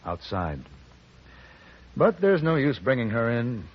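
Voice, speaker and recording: An actor playing a cool, polite American gunfighter for hire, measured and dry, in 1950s radio western style. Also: male voice, mid-range voice, very animated, breathy edge, exaggerated voice. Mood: cool, firm. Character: cool, orderly gentleman gunfighter for hire, unflappable. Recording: muffled old radio recording.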